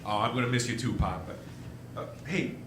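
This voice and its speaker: A man playing an eager, restless young dreamer in a live radio play, boyish, enthusiastic and a little halting. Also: medium pitch, natural voice. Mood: earnest, concerned. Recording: echoey room, faint noise.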